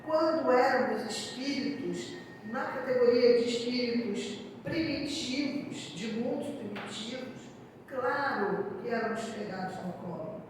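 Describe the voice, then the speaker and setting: A hoarse woman with a Brazilian Portuguese accent gives a spiritist talk, speaking deliberately and often checking in with her audience. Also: fairly high pitch. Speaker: spiritist lecturer. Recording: echoey hall, distant mic, background noise.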